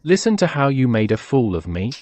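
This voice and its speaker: A man's voice: medium pitch, sing-song, brisk, darkish tone, no echo, natural voice. A man dubbing an outraged, betrayed man who hurls accusations. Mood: furious. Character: furious betrayed man of a rich family. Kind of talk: dubbed short drama acting.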